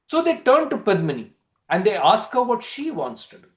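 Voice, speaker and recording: High-pitched, animated male storyteller. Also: moderate pace. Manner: theatrical, expressive. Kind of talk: dramatic storytelling, play reading.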